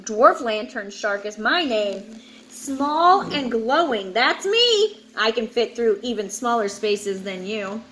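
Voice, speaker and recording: Woman as a tiny, squeaky-high, perky little shark. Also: very animated, slow pace, a bit bright, a little hiss or hum, exaggerated voice, rough recording. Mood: perky pride. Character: tiny, perky, proud dwarf lantern shark. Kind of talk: children's picture book read-aloud.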